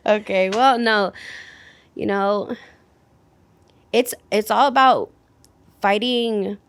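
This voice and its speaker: A woman's voice: medium pitch, slow, dry recording. Chatty woman, dry close sound. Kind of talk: casual podcast discussion.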